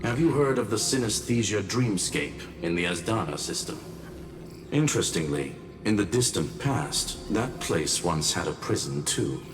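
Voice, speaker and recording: A man playing a composed, erudite and aloof scholar in a video game, explaining in measured, formal words. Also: sits low, lively intonation. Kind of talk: dramatic video game voice acting. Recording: echoey, distant, music underneath.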